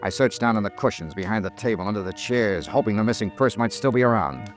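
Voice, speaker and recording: An actor playing a wry, hard-boiled male insurance investigator, telling his case crisply and dryly in 1950s radio noir style. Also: fairly low pitch, faint music behind, natural voice. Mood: dry, narrating. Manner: crisp, dry, deliberate storytelling.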